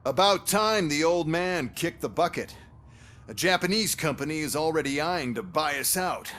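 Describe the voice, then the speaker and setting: A man's voice: a little high, animated, normal speed, fairly bright tone. An actor playing a smug, callous corporate executive in a video game, sneering and boastful as he mocks others.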